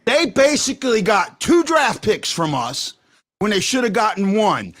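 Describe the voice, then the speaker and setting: A loud, excitable man hosting a fan talk show, rambling and restarting his sentences as he argues. His voice sits a bit high for a man, and he talks into a mic while wearing headphones. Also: unhurried. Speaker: podcast host. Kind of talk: heated hockey fan call-in talk.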